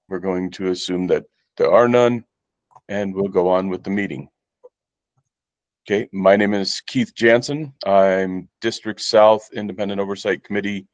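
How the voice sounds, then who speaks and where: A man with a low voice chairs a committee meeting. He speaks slowly and formally, working through procedure. The sound is close and dry, with no room around it. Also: no room sound. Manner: slow, formal procedural speech.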